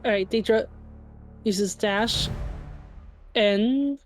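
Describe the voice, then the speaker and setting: A woman's voice: medium pitch, drawn-out words, soft background music. A woman playing a tabletop game, chatty and asking questions. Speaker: player. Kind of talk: casual tabletop play.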